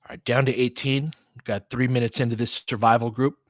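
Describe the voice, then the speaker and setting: A man's voice: medium pitch, fairly even intonation, fairly quick, no room sound, clean studio sound. An American man calling basketball play-by-play in an informal, conversational, opinionated way, mixed with casual fan chat. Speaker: play-by-play host of a fan stream.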